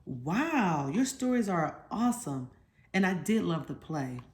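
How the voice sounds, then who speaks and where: Low-voiced woman reading a storybook. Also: sing-song, a little slow. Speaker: storyteller reading a children's book aloud. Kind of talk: storybook read-aloud with asides to young listeners.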